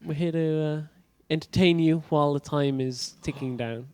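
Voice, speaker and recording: A man casting an esports match, teasing his co-caster with wry humor during a pause. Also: a little high, fairly even intonation, a little mellow, clear, resonant tone. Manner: wry, teasing, upbeat filler talk. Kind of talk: casual caster banter. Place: event stage in a venue.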